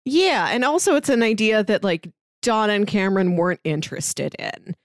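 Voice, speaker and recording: A woman's voice: medium pitch, lively intonation, moderate pace, no echo, studio quality. Chatty woman recapping a TV season. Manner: chatty, analytical, laughs often. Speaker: podcast co-host. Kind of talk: casual TV recap chat.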